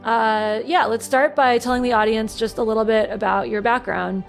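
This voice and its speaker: A woman hosting a podcast interview, upbeat and playful, reacting with quick exclamations. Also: fairly high pitch, steady tone, creaky, soft background music. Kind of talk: casual interview chat.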